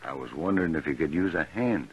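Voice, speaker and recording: An actor playing a quiet, guarded drifter looking for work in a 1950s western radio drama, terse and subdued. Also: male voice, a bass voice, expressive, fast, husky, exaggerated voice. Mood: guarded, meek. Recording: dull, distant old radio recording.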